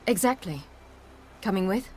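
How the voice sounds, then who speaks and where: A woman's voice: medium pitch, animated, moderate pace, a little hiss or hum, natural voice. A woman playing a frank, determined young adventurer in a fantasy video game. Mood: keen. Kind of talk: video game cutscene acting.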